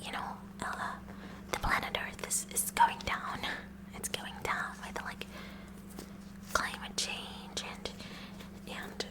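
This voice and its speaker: A woman voicing a kooky, eager high school science club president in a whispered ASMR roleplay, rambling and halting in a nerdy way as she addresses the listener. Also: lightly acted, poor audio. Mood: earnest, eager.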